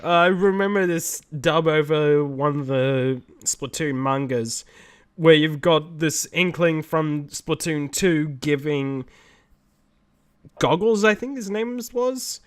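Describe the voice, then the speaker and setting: A young man co-hosting a casual chat show, telling animated stories and laughing often. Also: on the high side, takes it slowly, smooth. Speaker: podcast co-host.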